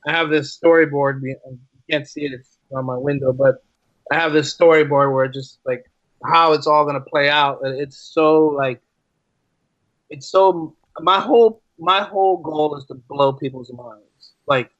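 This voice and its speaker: A man chatting casually as a podcast guest, restating his phrases for emphasis in an animated way. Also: fairly high pitch, unhurried, dry recording, highs cut off. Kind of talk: casual interview chat.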